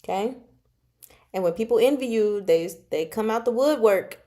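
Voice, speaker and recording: A woman chatting casually as a book club host, recapping a novel with gushing, slangy asides and drawn-out emphasis. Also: on the high side, animated, dark tone.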